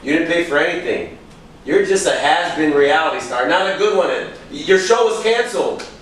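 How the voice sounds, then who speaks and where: A man's voice: a little high, expressive, normal speed, a bit bright. An actor playing a volatile, possessive man in a staged play, accusing one moment and begging the next, recorded from a distance in an echoey room. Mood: demanding, wounded. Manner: accusing, pleading, swinging from bullying to begging. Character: volatile, possessive alcoholic man, a desperate failing writer. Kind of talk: staged play reading, dramatic dialogue. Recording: echoey room, distant microphone, faint noise.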